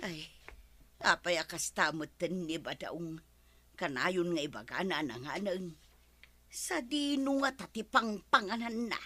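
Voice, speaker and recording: Sharp, bright nagging wife played by a woman. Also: lowish voice, expressive, unhurried. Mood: suspicious, complaining. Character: nagging, suspicious village wife. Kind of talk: comedy drama acting.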